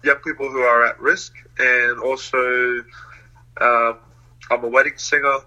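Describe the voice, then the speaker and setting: Bright-voiced young man, laughing easily. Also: medium pitch, level tone, measured pace, highs cut off. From New Zealand. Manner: laughs often, hesitant with many fillers. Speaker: interview guest. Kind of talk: casual conversational interview.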